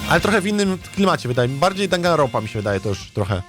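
A man's voice: fairly high pitch, very animated, racing. Rambling man streaming over background music. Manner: rambling, hesitant, repeats his words. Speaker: streamer, let's play host. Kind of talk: casual livestream chat, thinking aloud.

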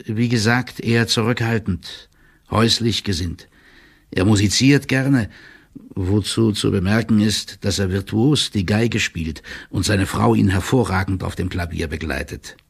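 Casting: an older deferential official, creaky low voice, officious and measured, reading a formal report for an audio story. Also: male voice, full character voice. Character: older deferential male court clerk reporting confidentially, formal, loyal. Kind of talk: dramatised first-person reading of a formal written report.

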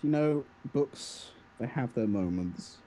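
A man's voice: medium pitch, animated, takes it slowly, dark tone. A young man co-hosting a casual podcast about games, with a dry, mock-indignant manner. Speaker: podcast co-host.

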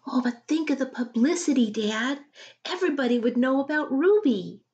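A woman's voice: high voice, lively intonation, normal speed, a little mellow, lo-fi sound, voice pushed up. A woman voicing an earnest, insistent young girl pleading with her dad in a storybook. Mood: eager, pleading.

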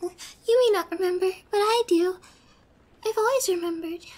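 A woman playing a young, obsessed, possessive girlfriend in an ASMR roleplay, sweet yet menacing, with a soft girlish voice and a coy, drawn-out delivery. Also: extremely high pitch. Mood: intense, obsessive. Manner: slow, coy, drawn-out, slipping into whispers.

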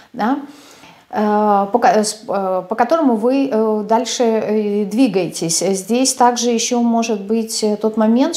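A woman's voice: medium pitch, mellow. A woman in her thirties gives an unscripted tarot reading to camera, speaking hesitantly with frequent filler sounds and restarts, recorded at a desk in a small room. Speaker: tarot reader.

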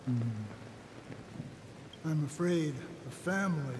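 An actor voicing a grave, cryptic man in a video game, speaking solemnly and deliberately with pauses. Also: fairly high pitch, noisy background. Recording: long reverb with rain and thunder.

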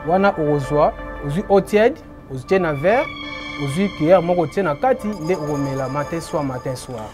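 A man with a notably high voice, a healer from Boma in Congo, explains his remedies in an interview. He repeats his phrases emphatically and lets them trail off quietly. Faint music plays underneath. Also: expressive, normal speed. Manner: repetitive, emphatic, trailing off low. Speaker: traditional healer.

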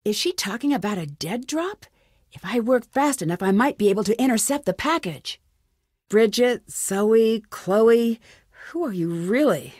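A woman's voice: a little high, expressive, unhurried, darkish tone, no room sound. Inquisitive young female sleuth for an adventure game: earnest, plucky musing to herself, close dry sound. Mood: puzzled, determined. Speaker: lead character in a detective adventure game. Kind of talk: scripted game dialogue, thinking aloud.

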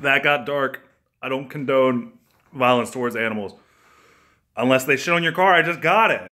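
A young man in his twenties filming a comedic cooking video in his home kitchen on a phone held at arm's length, cracking crude deadpan jokes and swearing freely. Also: mid-range voice, moderate pace, noisy background. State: hungover.

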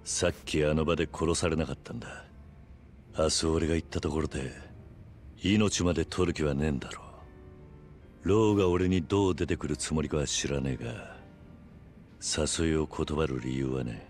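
Very deep, stoic tough man. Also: steady tone, moderate pace, darkish tone, soft background music. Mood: resolute. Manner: terse, grave. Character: stoic, terse, resolute middle-aged tough man. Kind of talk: video game drama acting.

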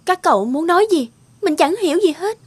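High-pitched woman, very expressive, bitter, resentful, dramatic cartoon dub. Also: a little fast, no echo. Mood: cold. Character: bitter, wounded adult woman seeking revenge. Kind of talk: cartoon dubbing.

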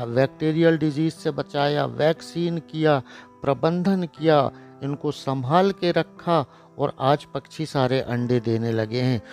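A man with a somewhat high voice talks bluntly, with a lecturing, sarcastic edge. He narrates a voice-over for his farm channel. Soft music plays faintly beneath him. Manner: blunt, lecturing, sarcastic about careless buyers. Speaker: poultry farming channel host. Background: soft background music.

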